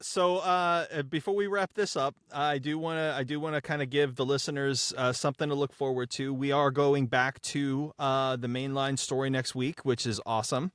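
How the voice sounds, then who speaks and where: A man on a podcast panel making casual announcements in a halting way with emphatic pauses. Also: medium pitch, fairly even intonation, a bit bright, clear, resonant tone, no room sound, clean studio sound. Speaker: podcast panelist from the production team.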